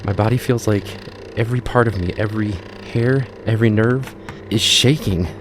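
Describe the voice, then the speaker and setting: An actor playing a frightened teenage boy, narrating haltingly in the first person in an audio drama. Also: on the low side, noisy background, natural voice.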